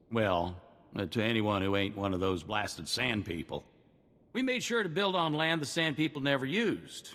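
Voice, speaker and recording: A man's voice: mid-range voice, fairly bright tone, in a large hall. A man voicing a folksy, grumbling but grateful frontier farmer in a video game. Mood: bitter warning. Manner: folksy rural speech, drops endings.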